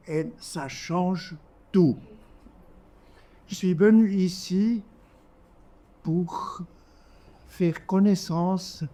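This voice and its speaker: A man with a Swiss German accent, a native Swiss German speaker, making impromptu audience remarks in halting, deliberate short phrases. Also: high voice, dark tone. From German-speaking Switzerland. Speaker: audience member. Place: live literary café event.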